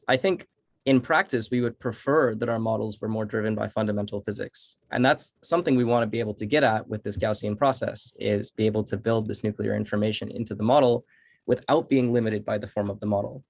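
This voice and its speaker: A man speaking as a researcher at an online academic seminar, explaining in halting phrases with repeated words. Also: on the low side, vocal fry. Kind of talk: academic presentation and answering questions.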